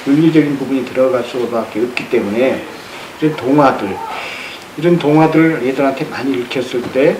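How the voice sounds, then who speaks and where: A man giving an informal public lecture in an earnest, halting way, often repeating his phrases. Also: mid-range voice. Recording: roomy, echoey with background noise.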